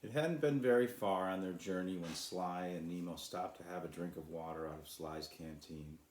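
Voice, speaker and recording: A man's voice: low-pitched, moderate pace, a little mellow, reverberant, a little hiss or hum. A man who is an author, reading and introducing his own children's story as a storyteller. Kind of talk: storybook narration.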